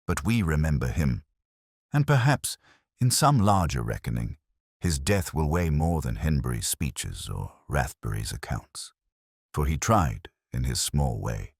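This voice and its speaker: A man plays a brilliant gentleman detective for an audiobook mystery, in a very deep register. He is grave and formal, speaking in measured, oratorical phrases with expressive rises and falls. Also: husky, no room sound, clean studio sound, full character voice. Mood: solemn, reflective.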